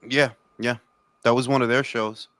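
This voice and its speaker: A man's voice: medium pitch, little pitch movement, normal speed, fairly bright tone, no room sound. A Dominican man hosting a casual boxing chat, speaking in profane, emphatic slang and repeating himself for emphasis. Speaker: boxing talk show host.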